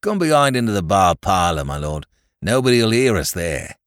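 A man's voice: medium pitch, big pitch swings, normal speed, dry recording, clean studio sound, voice pushed up, close to an everyday voice. A man voicing an eager, talkative Cockney pub landlord of about fifty-five, in a London Cockney accent. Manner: chatty, eager, dropped grammar.

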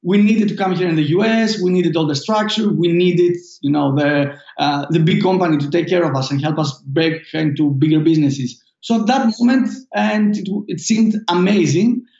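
A Greek-accented man with a high voice answers questions haltingly, stopping and restarting his sentences. His voice sounds roomy and echoey. The recording is dull and muffled. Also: a little mellow. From Greece. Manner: halting, with many fillers and restarts. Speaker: startup founder guest. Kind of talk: casual interview answers.

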